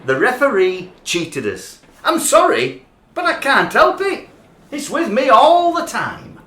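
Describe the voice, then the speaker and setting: Bloke acting rugby obsessive, dramatic aggrieved, voice up and down, bit echoey. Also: male voice, extremely high pitch, wildly swooping pitch, takes it slowly, pushed and bright, heavily acted. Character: earnest, obsessive male rugby league fan, trainee social worker. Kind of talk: radio play monologue and dialogue. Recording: roomy amateur recording, faint background noise.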